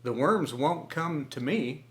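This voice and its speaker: A man voicing a calm, hardworking little red hen who murmurs drowsily in a storybook reading. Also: on the high side, takes it slowly. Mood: steady. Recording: echoey room.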